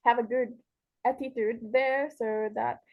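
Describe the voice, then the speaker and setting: A woman's voice: a little high, steady tone, a little slow. A woman with an Indonesian accent responding politely and a little hesitantly in an informal online discussion. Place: online call. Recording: dull lo-fi call audio.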